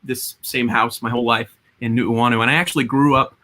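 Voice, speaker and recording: Young male guest from Hawaii, chatty and self-deprecating, bright voice. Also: mid-range voice, steady tone, brisk. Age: young adult. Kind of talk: casual interview chat.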